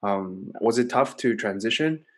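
A man's voice: lowish voice, animated, a little slow. A man in his twenties, a native Kazakh speaker, hosting a casual podcast and asking curious, self-deprecating questions. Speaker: podcast host. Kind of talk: casual interview chat.

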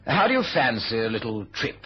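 A man playing a genial older British politician in a 1970s radio drama, urbane and polite with gentle hesitations and a husky voice. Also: mid-range voice, big pitch swings, a little fast, soft background music, natural voice. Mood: sly, genial. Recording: muffled, lo-fi old radio recording.

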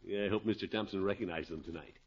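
An actor playing a pompous, fussy but good-natured middle-aged uncle in a 1950s radio comedy, blustery and chuckling. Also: male voice, low voice, quick pace, natural voice. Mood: fussy, genial. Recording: muffled old radio broadcast.

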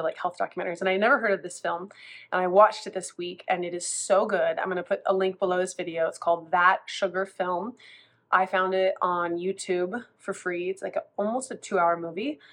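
A woman's voice: on the low side, a bit bright. Congested chatty woman vlogger. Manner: chatty, rambling with many restarts. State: congested from a cold. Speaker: food coach. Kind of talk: casual vlog talk.

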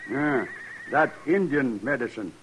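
A man's voice: mid-range voice, lively intonation, unhurried, fairly bright tone. A man in a 1950s radio western playing a proud, stoic old Kiowa chief who speaks in terse broken English. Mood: calm, unyielding. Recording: noisy vintage radio drama recording.

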